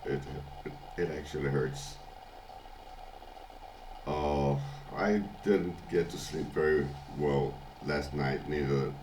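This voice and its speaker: An older man with a congested, hoarse voice from illness, talking in a halting casual video diary at home, often chuckling. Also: extremely low pitch, noisy background. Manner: halting, many restarts and fillers, chuckles often. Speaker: vlogger. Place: at home, early morning.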